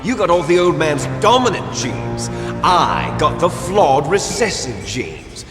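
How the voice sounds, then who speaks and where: An actor playing a bitter, gloating villain twin with grand ambitions in a video game, theatrical and taunting. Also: male voice, on the high side, big pitch swings, takes it slowly. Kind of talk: dramatic video game voice acting. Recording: echoey hall reverb under loud music.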